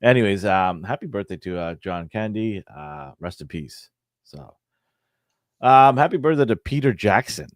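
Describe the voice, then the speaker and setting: Brief: low raspy male host, hoarse, jokey banter, dry close mic. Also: expressive, moderate pace, no echo. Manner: jokey, teasing his co-host. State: hoarse from cheering. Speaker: podcast host. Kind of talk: casual banter.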